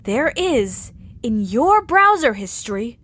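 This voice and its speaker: A determined woman sleuth in an audio murder mystery. Her voice sits a little high and swings expressively as she builds each accusation step by step, dramatic and emphatic. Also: very animated, slow, fairly bright tone, full character voice. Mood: triumphant, accusing. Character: sharp, determined woman amateur detective laying out accusations.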